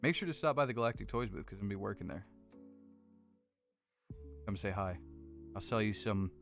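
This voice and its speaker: An American man with a deep voice chatting casually and rambling a little as he hosts a livestream on a close desk microphone. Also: expressive, fairly quick, creaky, over music. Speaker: livestream host building model kits. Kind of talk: casual chat with live viewers. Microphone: close desk condenser microphone.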